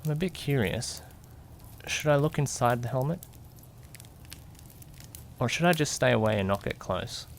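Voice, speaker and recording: A man's voice: mid-range voice, expressive, normal speed, vocal fry, pauses often, a little hiss or hum. A man playing a curious, jittery young detective's companion who narrates a mystery audio drama with suspense and questioning asides. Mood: suspenseful, curious. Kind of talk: amateur audio drama narration in first person.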